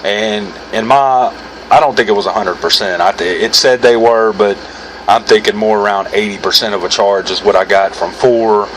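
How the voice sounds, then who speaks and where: An American man with a fairly low voice talks through a product review. His delivery is informal and rambling, correcting himself as he goes. Clear background noise sits behind his speech. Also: moderate pace, fairly bright tone. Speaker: gear reviewer hosting his own video. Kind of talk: casual unscripted product review. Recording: clearly noisy background hum.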